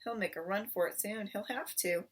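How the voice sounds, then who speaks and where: A woman's voice: mid-range voice, normal speed, far from the mic, lightly acted. A woman voicing a hostile, boastful male farmer in a storybook, commanding and taunting.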